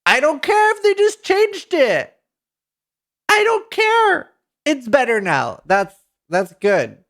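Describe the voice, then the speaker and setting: Give me a Norwegian-accented man in his thirties reading game patch notes live, excitable and chatty with his viewers. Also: extremely high pitch, takes it slowly. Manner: excitable, swears casually, talks to chat. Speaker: streamer. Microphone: headset mic at a desk.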